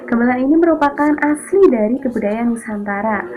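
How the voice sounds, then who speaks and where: A woman's voice: on the high side, lively intonation, fairly quick. A woman teaching a lesson, explaining step by step in an orderly, didactic way. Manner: didactic, signposts each point in order. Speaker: history teacher. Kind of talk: explanatory history lesson for students. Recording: thin phone-like audio with music behind. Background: background music.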